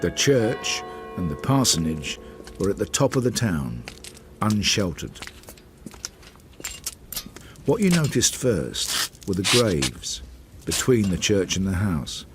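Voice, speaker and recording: A Victorian detective narrating a radio drama. The voice is low and slow yet nicely varied in pitch. He speaks in short, understated, reflective phrases. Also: big pitch swings, noisy background, heavily acted. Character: reflective Victorian detective recalling an old case.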